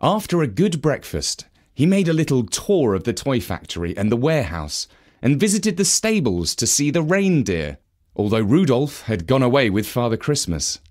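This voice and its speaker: A man narrating a children's story from a script in an expressive, measured manner. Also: medium pitch.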